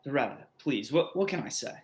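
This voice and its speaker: A man reading a play part as an anxious, pleading man, halting and imploring. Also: medium pitch, sing-song, a little hiss or hum, natural voice. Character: anxious, pleading man desperate to keep his housemate. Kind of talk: readers theatre play reading.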